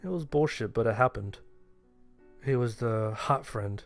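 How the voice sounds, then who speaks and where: A man's voice: medium pitch, measured pace. A man narrating a first-person horror story, playing the main character himself. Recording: music under the voice. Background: music.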